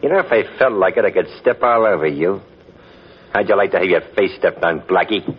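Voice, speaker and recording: A man's voice: sits low, a little fast, slightly rough. An actor playing a dim, gloating gangster henchman with slangy tough talk in a 1940s radio drama. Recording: dull vintage 1940s radio recording.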